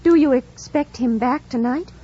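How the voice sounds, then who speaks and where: An actress playing an earnest, composed young governess in an old radio drama, speaking with a refined British accent and careful, formal phrasing. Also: female voice, high voice, moderate pace, warm tone, husky. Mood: quietly anxious. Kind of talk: 1940s radio drama narration and acting. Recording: dull old radio recording. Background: music.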